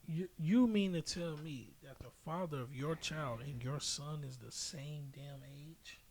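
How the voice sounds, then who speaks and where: Higher-pitched man, emphatic podcast talker. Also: unhurried, darkish tone. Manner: emphatic, slangy storytelling with repetition. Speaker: podcast host. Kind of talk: casual opinionated podcast chat.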